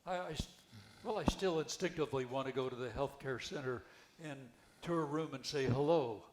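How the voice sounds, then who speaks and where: An older man reading the part of a wry, skeptical widowed retirement-home resident in an amateur play, halting and repeating words. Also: mid-range voice, natural voice. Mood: somber. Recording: echoey room, background noise.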